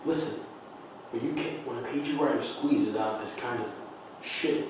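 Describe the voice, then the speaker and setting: A man's voice: medium pitch, normal speed, close to an everyday voice. A man playing a blunt, disapproving friend of a writer in a table read of a stage play. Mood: scornful. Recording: echoey room, distant mic, noise.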